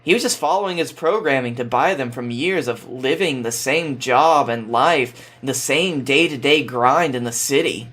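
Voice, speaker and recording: A man's voice: mid-range voice, normal speed, few pauses, fairly bright tone. A man as a podcast guest, reading a story aloud and chatting casually. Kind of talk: fan fiction read aloud and casual podcast chat.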